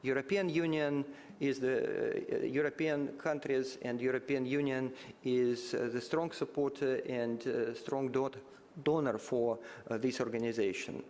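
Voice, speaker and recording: A man whose first language is Russian, giving formal remarks in English at a conference side event, halting and measured with frequent pauses. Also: mid-range voice, steady tone, darkish tone. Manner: halting, many filler sounds and restarts, measured. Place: large conference hall. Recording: hall reverb with faint ambience.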